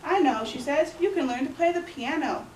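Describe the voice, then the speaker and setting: A woman's voice: high-pitched, normal speed, a little mellow, slight background noise. A young woman giving a booktalk to a class of young children, reading story passages aloud and acting out the quoted lines. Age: young adult. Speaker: student librarian giving a booktalk. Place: elementary school classroom. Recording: echoey classroom from far off.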